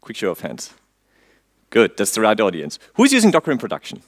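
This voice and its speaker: A man from Austria with an Austrian accent, a native German speaker giving a technical conference talk in English. He quizzes the audience, drops in dry jokes and fills pauses with frequent "um"s. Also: lowish voice, huge pitch swings. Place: conference stage before an audience.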